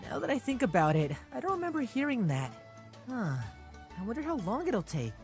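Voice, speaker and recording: A woman's voice: a little high, sing-song, a little fast, soft background music. A woman playing an eager, curious young girl in a scripted comic dub. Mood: puzzled, curious.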